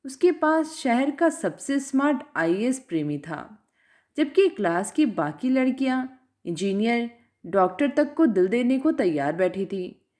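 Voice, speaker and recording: A woman reading a literary short story aloud in a measured, expressive way, her voice dropping at the end of phrases. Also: a little high.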